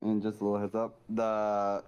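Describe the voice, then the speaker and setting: A man chatting casually as a livestream guest, speaking in brief, halting phrases. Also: fairly low pitch, completely flat delivery, a little mellow, dull audio, slight background noise. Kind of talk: casual chat.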